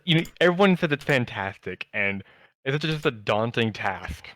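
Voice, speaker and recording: Halting man with a dry, close sound. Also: mid-range voice, expressive, a bit bright, no echo. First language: English. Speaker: podcast co-host. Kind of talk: casual podcast chat.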